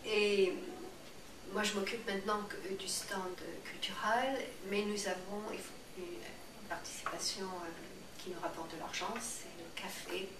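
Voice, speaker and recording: A woman's voice: medium pitch, fairly even intonation, measured pace, a loud crowd. A woman in her sixties from France with a Parisian accent, answering interview questions about her association in a conversational way, with many fillers and restarts. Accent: Parisian French. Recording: echoey room, distant mic, background noise.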